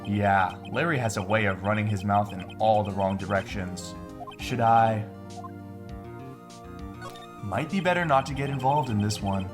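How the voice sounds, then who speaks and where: An anxious rookie defense lawyer as a man acts him, speaking in a lowered voice. He mutters nervously and wryly, as if thinking aloud in a video game. Music sits behind him. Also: measured pace, pauses often, close to an everyday voice. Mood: worried, wry. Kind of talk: video game fan dub.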